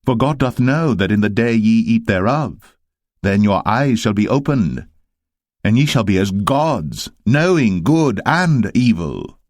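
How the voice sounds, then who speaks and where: A man voicing a cunning male serpent, insinuating and deliberate, in a dramatised scripture reading. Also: on the low side, sing-song, darkish tone, no room sound, clean studio sound, voice pushed up. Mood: sly, tempting. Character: cunning male serpent tempting a woman, sly and persuasive.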